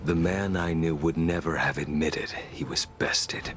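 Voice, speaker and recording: A brooding, cold swordsman from a video game. His voice is extremely deep and drags slowly. Quiet music plays under him. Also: fairly even intonation. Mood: cold, reproachful.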